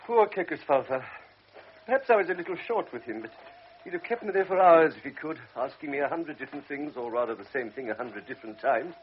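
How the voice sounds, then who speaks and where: A man's voice: on the high side, animated, fast, dull audio, slight background noise, natural voice. A man playing a blunt, cynical middle-aged doctor in a radio drama, talking in a brusque, lecturing, world-weary way. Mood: exasperated.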